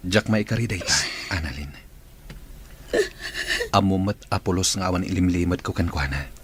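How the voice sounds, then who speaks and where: Man acting an emotional, low-voiced Ilocano man. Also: normal speed, noisy background, natural voice. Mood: earnest, pleading. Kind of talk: Ilocano radio drama acting.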